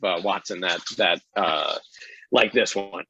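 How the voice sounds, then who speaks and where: Guy on a podcast, lowish voice, hesitant, casual, dry slightly muffled audio. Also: fairly even intonation, bright, forceful tone, husky, no echo. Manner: halting, hesitant phrasing. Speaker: interview guest, audio drama director. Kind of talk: casual interview talk.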